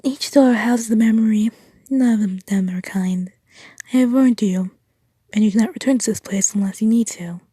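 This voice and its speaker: A woman voicing a soft, eerie female guide who shows others memories, in a whispery voice. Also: fairly high pitch, moderate pace, slightly rough, no echo. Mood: ominous.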